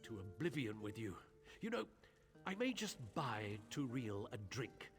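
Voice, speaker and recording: A scheming silk merchant from a fantasy video game. He is haughty and spiteful, wheedling with theatrical flair. His pitch swings widely, and faint music plays underneath. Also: big pitch swings, measured pace. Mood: sneering, bitter. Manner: theatrical, pleading turning to sneering contempt. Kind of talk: dramatic video game voice acting.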